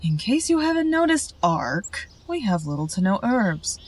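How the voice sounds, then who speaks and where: Woman playing husky, stubborn, sarcastic herbalist. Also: mid-range voice, big pitch swings, moderate pace. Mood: irritated, sarcastic. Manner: sardonic, blunt, complaining. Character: grumpy, stubborn, sarcastic female pack herbalist animal. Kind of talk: audio drama acting.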